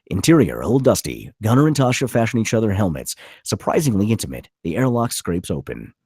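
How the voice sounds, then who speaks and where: Man, table-read narrator, screenplay stage directions, lively intonation, husky, low. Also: a little fast, dry recording, studio quality.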